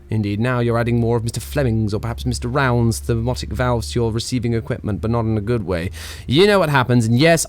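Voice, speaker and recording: A man's voice: mid-range voice, natural voice. A man playing a cheeky, whimsical 1920s radio pioneer in a radio play, rambling theatrically with hesitant, playful asides to listeners. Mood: mock-grave. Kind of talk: 1920s radio play acting, comic monologue.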